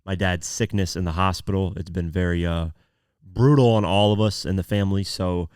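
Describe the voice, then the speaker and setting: Very deep-voiced man with a sore throat. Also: fairly even intonation, moderate pace, darkish tone, clean tone, dry recording, clean studio sound. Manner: informal, conversational, reads articles aloud then comments. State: sore throat, voice not at full strength. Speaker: podcast host. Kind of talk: casual news commentary podcast.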